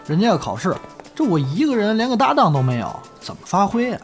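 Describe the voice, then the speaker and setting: A man playing an eager, chatty young crosstalk comedy hopeful in a Chinese audio drama, speaking colloquial with expressive delivery. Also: sits high, fast, faint music behind. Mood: earnest, eager.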